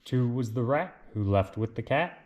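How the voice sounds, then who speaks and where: A man reads a children's storybook aloud in a deliberate, lilting way, with casual asides. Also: medium pitch, expressive, darkish tone, lo-fi sound, slight background noise. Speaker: reader of picture books.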